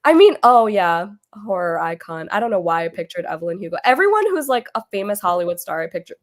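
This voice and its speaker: A woman hosting a casual book club chat over a video call, losing her voice as she gets over an illness, chatty and quick to laugh. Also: mid-range voice, big pitch swings. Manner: chatty, laughs often, trails off.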